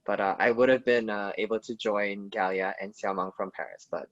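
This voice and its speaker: A man's voice: fairly low pitch, fairly even intonation, brisk, dry recording. Young man, from Canada, hesitant casual chat, muffled dry call audio. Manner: hesitant, many fillers and restarts. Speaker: video call participant. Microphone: video call.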